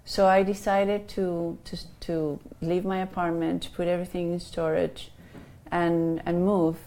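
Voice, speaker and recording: A woman's voice: fairly low pitch, little pitch movement, measured pace, dark tone. A woman in her fifties from Chile with a Chilean Spanish accent, a life coach answering interview questions with many ums and restarts.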